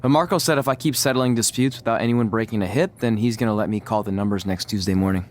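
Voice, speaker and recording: An actor playing a wry, stubborn young wrestler in a video game, talking conversationally with dry sarcasm. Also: male voice, on the low side, fast talker, darkish tone, natural voice. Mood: wry, casual. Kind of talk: video game cutscene acting.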